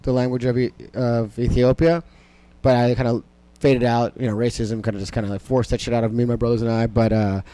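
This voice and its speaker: A man's voice: medium pitch, flat delivery, fairly quick, warm tone, no echo. A young man whose first language was Amharic, a comedian talking casually in an interview, rambling and profane with many fillers. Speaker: stand-up comedian, podcast guest.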